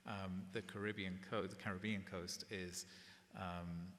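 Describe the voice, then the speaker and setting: A man curator in a public gallery conversation, talking in a relaxed, conversational way, often checking in with "right?". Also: deep voice, unhurried. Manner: relaxed, conversational, tag questions. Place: gallery event space before an audience. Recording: echoey hall, faint hiss.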